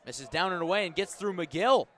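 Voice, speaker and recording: A man doing live radio baseball play-by-play as the announcer, measured between pitches and lifting into excited calls on the action. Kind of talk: live radio sports commentary.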